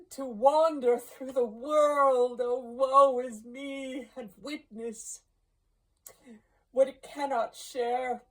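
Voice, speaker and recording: A woman voicing a solemn, mournful male ghost in a storybook, with drawn-out wailing words. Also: fairly high pitch, voice pushed up, low-quality recording.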